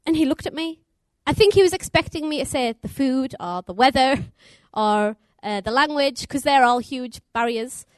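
Young woman missionary preacher, upbeat and chatty, put-on American twang, high voice, dry sound. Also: animated, normal speed, few pauses, smooth, no echo. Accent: put-on American twang on English. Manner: chatty, laughs often, upbeat. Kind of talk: sermon. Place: church.

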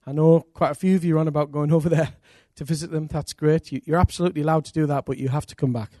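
A man's voice: a little high, steady tone, racing, dry recording, studio quality. A middle-aged man, a church pastor, introducing a guest preacher with enthusiasm and leading a prayer. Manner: warm enthusiastic introducer, cheering.

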